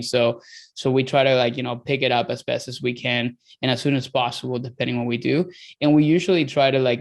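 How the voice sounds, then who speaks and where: Venezuelan man, gravelly rambling talk. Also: medium pitch. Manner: rambling, many fillers and restarts. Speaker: guest, dietitian. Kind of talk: casual interview talk.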